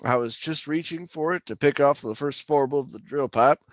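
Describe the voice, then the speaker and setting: A man's voice: on the high side, moderate pace, no echo, lo-fi sound, lightly acted. A man playing a jittery, haunted oil rig worker telling his suspense story in colloquial, stammering old-time radio style. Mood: uneasy recounting. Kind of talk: old-time radio drama narration, first-person suspense.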